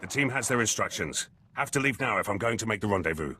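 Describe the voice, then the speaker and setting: An actor voicing a gruff, battle-hardened soldier in a video game, terse and blunt, speaking in clipped sentences. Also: male voice, fairly low pitch, lively intonation, quick pace, pushed and bright, a little hiss or hum. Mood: proud, matter-of-fact. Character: gruff, battle-hardened male military commando, loyal and brash.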